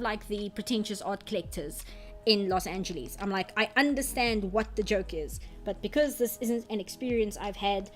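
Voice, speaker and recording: A young woman from South Africa with a South African accent, an Afrikaans speaker, chatting casually on stream while she draws and sometimes muttering to herself as she works. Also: mid-range voice. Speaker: artist streamer. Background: faint background music.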